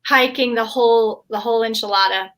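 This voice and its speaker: Woman host, eager and effusive, slightly high voice, video call audio. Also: fairly even intonation, fairly quick. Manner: enthusiastic, warm praise of guests. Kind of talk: casual video call panel.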